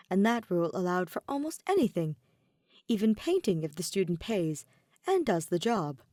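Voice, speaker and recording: A woman reading a fan fiction story aloud as an expressive narrator. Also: medium pitch, dry recording, clean studio sound. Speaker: podfic narrator.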